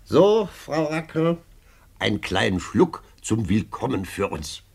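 An actor in a German radio play playing a fussy, self-assured family friend who talks knowingly. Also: male voice, mid-range voice, sing-song, takes it slowly, vocal fry, a little mellow, slightly rough, exaggerated voice. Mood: pleased, knowing.

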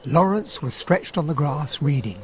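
An actor playing a reflective English gentleman narrating his memories in a radio drama, measured and literary, with an upper-class accent. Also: fairly high pitch, lively intonation, lightly acted. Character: Hastings as reflective older narrator, English gentleman recalling events. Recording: dull, muffled radio drama recording.

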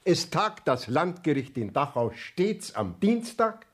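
A man's voice: fairly high pitch, sing-song, a little slow. An actor playing a formal, commanding male court councillor in a radio play, with precise, declamatory delivery. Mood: brisk, official.

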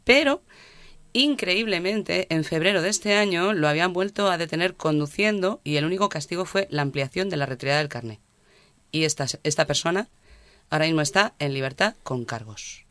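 A man's voice: a little high, expressive, fairly quick, a bit bright, clear, resonant tone, no echo. A man hosting a radio talk show, easygoing, who asks long, rambling questions of his guests.

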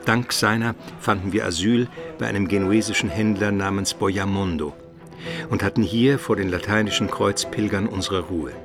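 Radio drama narrator: learned imperial court historian, measured, formal, on the low side, over music. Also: male voice. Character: dignified Byzantine court historian and chancellor, man.

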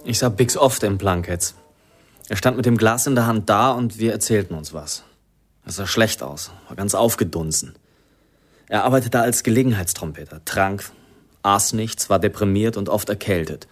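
A man's voice: fairly low pitch, moderate pace, soft background music, natural voice. A man playing a sombre drinking companion who plainly recalls a declining friend in a radio play. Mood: subdued.

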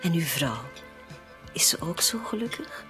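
Casting: woman as shrewd hired companion, lowish voice, coaxing and composed, radio drama. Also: big pitch swings, measured pace, pushed and bright, soft background music, heavily acted. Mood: coaxing, sociable. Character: friendly, cunning hired female decoy, reluctant accomplice.

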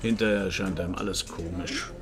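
An actor playing a wry, probing private detective who narrates and questions in a German radio crime drama, dry and laconic, with a gravelly voice. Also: male voice, deep voice, fairly even intonation, a little fast, a crowd in the background, lightly acted. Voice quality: gravelly, creaky.

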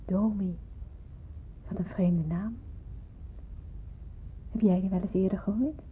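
A woman's voice: medium pitch, fairly even intonation, takes it slowly, slight background noise, natural voice. An actress playing a bewildered, wary young woman in hiding, musing and questioning in a radio drama. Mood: hesitant, curious. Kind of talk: radio drama narration and dialogue. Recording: muffled, narrow-band old radio recording.

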